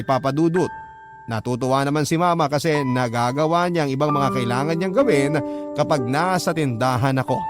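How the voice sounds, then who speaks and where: A man narrating a listener's love story on a radio drama, reading it in steady first person. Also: on the high side. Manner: steady storytelling, addressing the host. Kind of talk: scripted narration. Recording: loud music bed.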